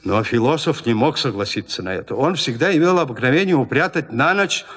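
A man narrating a classic literary tale for an audio drama in a measured, expressive storytelling manner. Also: medium pitch.

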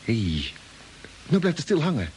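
An actor playing an eager, excitable young crewman in a science-fiction radio drama. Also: medium pitch, normal speed, slightly rough, heavily acted, low-quality recording. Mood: excited. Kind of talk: 1950s radio drama acting.

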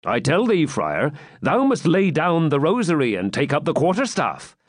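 A man's voice: on the high side, wildly swooping pitch, moderate pace, dry recording, clean studio sound, voice pushed up. A man voicing a forthright outlaw yeoman in an audiobook, speaking firm, urgent, old-fashioned English. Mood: brisk reproach. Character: forthright, commanding male outlaw yeoman woodsman. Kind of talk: audiobook character voice.

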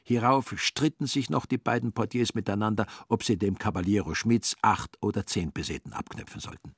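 Husky, low male radio play narrator. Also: brisk, no room sound, clean studio sound. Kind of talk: literary radio drama narration.

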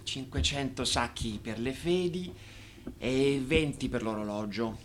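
An actor as a dry, unbudging moneylender. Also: male voice, medium pitch, measured pace, close to an everyday voice. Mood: dry, dismissive. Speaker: radio drama actor. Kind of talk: radio theatre dialogue.

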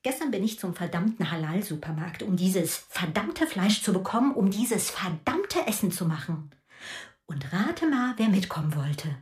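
A woman's voice: lowish voice, very animated, normal speed. An actress playing an angry, proud middle-aged mother who rants with sarcasm in a radio drama. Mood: bitter.

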